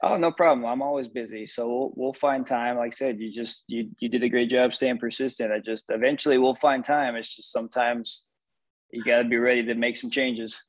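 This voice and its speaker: A middle-aged man, a sports performance executive, talking in a measured, reflective way with many casual fillers. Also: medium pitch, fairly even intonation, no room sound. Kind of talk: casual interview over a call.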